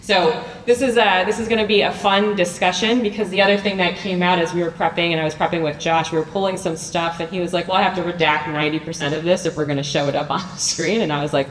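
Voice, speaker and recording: A woman with a low voice moderates a conference panel, chatty and upbeat and full of fillers. She sounds echoey, picked up from a distance in a big hall with a faint hiss. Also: fairly even intonation, fast, few pauses. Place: large conference room.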